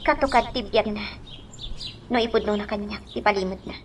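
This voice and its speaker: A woman's voice: mid-range voice, fairly quick, a little hiss or hum, close to an everyday voice. A woman playing a concerned, kindly young employer in a radio drama, talking in an earnest, questioning way. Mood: earnest, puzzled.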